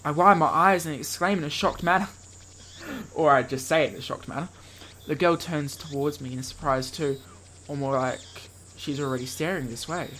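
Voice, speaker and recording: A man's voice: on the high side, normal speed, a bit bright, slight background noise. A man hosting a let's play, reading visual novel text dramatically and breaking off for playful asides. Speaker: gaming video host reading aloud.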